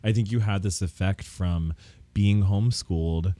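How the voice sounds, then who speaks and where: Man, very low pitch, casual podcast chat, campy, candid, dry studio sound. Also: normal speed, dark tone, no room sound. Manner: campy, joking slang.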